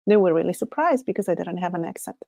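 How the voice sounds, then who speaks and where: A woman's voice: fairly low pitch, expressive, fast talker, gravelly, darkish tone, dry recording, studio quality. A woman from Chile with a Chilean Spanish accent, Spanish her first language, hosting a casual solo livestream chat. She muses aloud, often laughs, and trails off and restarts her thoughts. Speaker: livestream host.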